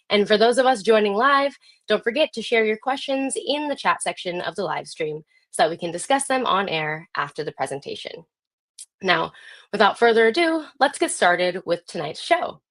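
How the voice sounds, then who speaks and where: An American woman speaking as host, reading a scripted introduction for a live online program. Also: medium pitch.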